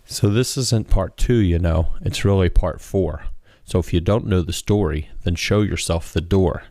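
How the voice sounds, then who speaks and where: Low-voiced man reciting rhymed verse. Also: a little slow, dark tone, dry recording. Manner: rhythmic, rhyme-driven delivery. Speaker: author and poet reading own work. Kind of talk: rhymed spoken-word verse reading.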